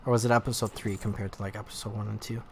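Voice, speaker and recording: A man with a slightly nasal, stuffy voice talks through his gameplay in a loose, rambling way, leaning on filler words as he goes. His pitch sits in a middling range. A faint noise floor hangs under the recording. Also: little pitch movement, racing, gravelly, darkish tone. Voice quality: nasal from allergies. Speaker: gaming YouTuber. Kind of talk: casual let's play commentary.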